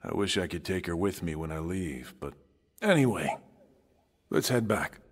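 A man playing a wry, wistful, world-weary survivor in a video game, musing conversationally in a husky voice. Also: low-pitched, animated, normal speed, heavily acted. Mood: tender, resigned. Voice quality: husky, rough-edged. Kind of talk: video game cutscene acting.

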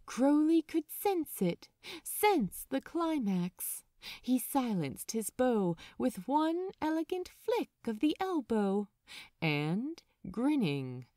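A woman reading a story as an audiobook narrator, expressive, dramatic and measured. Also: a little high, no room sound, studio quality.

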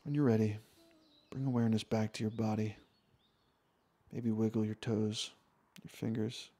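A man leading a guided meditation in an informal, soothing way, with unhurried phrases and long pauses. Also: on the low side, little pitch movement, dark tone. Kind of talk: guided meditation, yoga nidra body scan.

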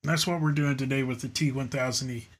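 A man's voice: medium pitch, steady tone, quick pace. A middle-aged man giving an earnest, semi-scripted product review to camera, explaining features and occasionally stumbling over his lines. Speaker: YouTube host reviewing radio gear. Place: indoor studio room with gear shelves.

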